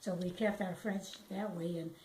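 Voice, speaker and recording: An older woman speaking softly as she reminisces in an interview. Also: on the low side, fairly even intonation, measured pace. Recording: echoey room with faint noise.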